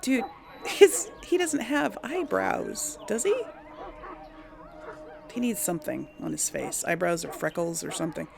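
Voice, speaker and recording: A woman's voice: lowish voice, unhurried, creaky. A woman giving casual gaming commentary and musing aloud hesitantly as she role-plays. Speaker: let's play host role-playing a game heroine.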